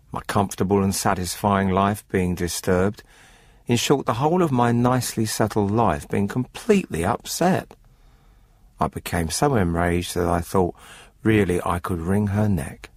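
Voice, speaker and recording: An actor playing a cold, methodical poultry farmer who confesses to murder in a formal, matter-of-fact, unrepentant radio drama narration. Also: male voice, low-pitched, a little mellow, no echo, clean studio sound. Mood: irritated.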